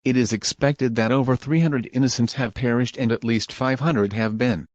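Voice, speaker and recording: A man's voice: mid-range voice, steady tone, normal speed, a little mellow, no room sound, muffled recording. A man reading scripted emergency broadcast announcements as an alert announcer. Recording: clearly noisy background.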